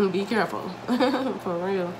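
A woman's voice: medium pitch, little pitch movement, takes it slowly. A young woman, chatting to viewers while eating, a little congested from a cold, recorded at home. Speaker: mukbang vlogger. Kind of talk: casual chat while eating. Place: home dining room, indoors. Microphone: camera mic at table distance. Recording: home recording with noticeable room noise.

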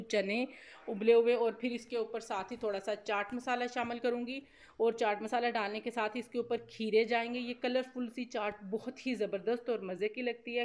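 A woman with a Pakistani accent giving a casual, conversational cooking voice-over, explaining steps with repeated filler phrases. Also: on the high side. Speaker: cooking vlogger.

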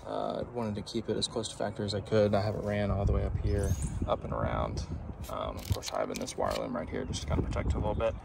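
Low, gravelly man over background noise. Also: expressive, fast. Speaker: DIY video presenter. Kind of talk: casual hands-on walkthrough. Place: inside a parked pickup truck. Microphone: handheld phone camera. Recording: clear background noise, vehicle ambience.

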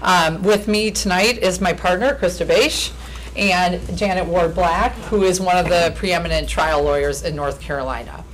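A woman with a somewhat low, naturally loud voice delivers a formal pitch as an attorney. She speaks earnestly and persuasively into a podium microphone. A faint hum of the meeting room sits behind her. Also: normal speed, low-quality recording. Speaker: attorney presenting to county commissioners. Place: county commission meeting room.

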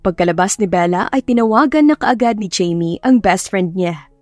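A woman's voice: medium pitch, animated, normal speed, no room sound. A woman with a Filipino accent reading a scripted story in a dramatic narration style. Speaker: audiobook narrator. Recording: background music under narration.

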